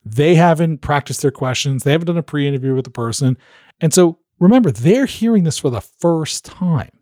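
A man who coaches podcast hosts, giving animated advice in an interview and acting out quoted voices. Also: on the high side, moderate pace, dry recording.

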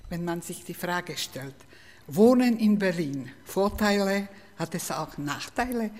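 Low-voiced woman interviewer in a reverberant room. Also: animated, darkish tone. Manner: measured, formal phrasing. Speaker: radio interviewer. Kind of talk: formal interview questions. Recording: reverberant room with faint ambient noise.

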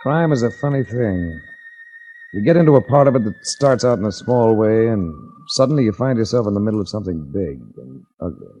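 An actor playing a wry hard-boiled investigator in a 1940s radio drama, speaking in a dry, measured, wisecracking way. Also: male voice, lowish voice, expressive, warm tone, faint music behind. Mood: grim, measured narration. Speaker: lead actor and narrator. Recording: dull 1940s radio recording.

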